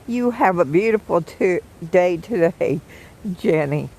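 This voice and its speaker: An older woman with a hoarse, froggy morning voice, chatting gently and affectionately with live viewers from the woods. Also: fairly low pitch, animated, measured pace, a little hiss or hum. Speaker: vlogger greeting live viewers. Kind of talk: casual live chat. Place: outdoors in the woods.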